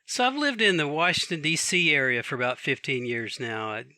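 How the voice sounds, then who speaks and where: A man's voice: fairly high pitch, very animated, brisk, bright, forceful tone, dry recording. A man from Atlanta, a professor and author, talking casually as a podcast guest. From Atlanta, Georgia. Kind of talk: casual conversation.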